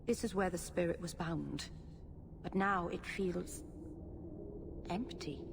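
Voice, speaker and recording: An actress playing an earnest, emotionally open young elf mage in a dramatic video game. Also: female voice, lowish voice, takes it slowly, creaky. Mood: uneasy, solemn. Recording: long reverb, faint background noise.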